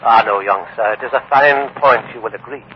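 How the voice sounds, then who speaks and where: A man's voice: mid-range voice, a little fast, lightly acted. An actor playing a grave, wary English nobleman in an old radio drama, speaking in formal, archaic oratory. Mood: earnest warning. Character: courtly medieval English lord, grave and wary. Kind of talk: 1950s radio drama acting. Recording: muffled old radio, faint noise.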